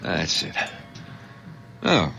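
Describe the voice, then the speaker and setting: An actor playing a cautious, concerned doctor husband, speaking in a measured, questioning way in an old radio drama. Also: male voice. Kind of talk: 1970s radio drama acting. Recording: muffled old radio broadcast with loud hiss.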